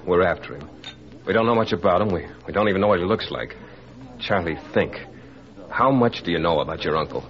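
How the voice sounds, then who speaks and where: Low-voiced, earnest young detective. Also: male voice, animated, brisk. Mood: urgent, pleading. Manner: insistent, clipped short sentences. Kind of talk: 1940s radio acting. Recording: dull 1940s radio recording.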